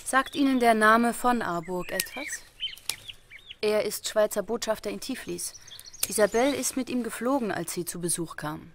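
An actress playing a sober, persistent female investigator in a German crime radio drama, with a deliberate, questioning manner. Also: mid-range voice, fairly bright tone, a little hiss or hum, close to an everyday voice. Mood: probing.

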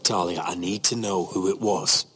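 A battle-scarred young lord in a fantasy game drama. His voice is low, measured and solemn, with faint music underneath. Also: fairly bright tone, breathy edge. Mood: serious. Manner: measured, serious. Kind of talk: dramatic video game acting. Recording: music behind the voice.

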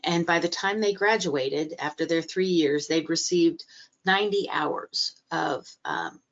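Low-voiced woman with a cold on a video call. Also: unhurried. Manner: hesitant, many fillers and restarts. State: recovering from a cold, a little stuffy. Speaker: guest speaker, library trainer. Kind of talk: webinar talk. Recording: muffled video-call audio.

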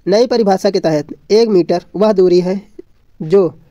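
Guy teacher with a high but mellow voice, reading notes and repeating stuff, dull roomy recording. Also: measured pace. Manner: didactic, repeats points and asks then answers own questions. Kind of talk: exam lesson read from notes.

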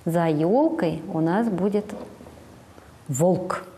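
Woman in her 40s, speech therapist, coaxing storytelling, mellow voice, long studio reverb, lapel mic. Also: fairly low pitch, a little hiss or hum. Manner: slow, sing-song, prompting the child to finish words. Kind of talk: telling a fairy tale to a small child.

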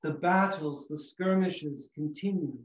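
A middle-aged man, an Orthodox priest, giving a sermon in a measured, earnest way with emphatic phrasing, recorded in a small room. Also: on the high side. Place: small room chapel in a house, indoors. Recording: echoey room, slightly dull sound.

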